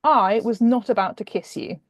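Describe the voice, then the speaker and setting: Stiff Regency gentleman, acted by a woman, emphatic and affronted, storybook romance, dry close sound. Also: on the high side, moderate pace, no room sound, lightly acted. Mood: stern, indignant. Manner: indignant, clipped, emphatic. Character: stiff, stern, flustered Regency duke, proud rule-follower.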